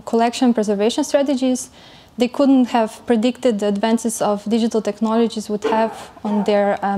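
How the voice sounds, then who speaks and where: Soft-voiced woman giving a conference talk. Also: on the high side. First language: not German. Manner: careful, measured delivery with frequent fillers. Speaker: conference speaker. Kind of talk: academic presentation.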